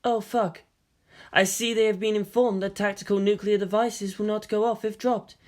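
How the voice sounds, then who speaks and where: A reader voicing a cool, sardonic young woman from a fanfiction audiobook, speaking dryly and sarcastically, pitched a little above the reader's own voice. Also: flat delivery, normal speed, close to an everyday voice.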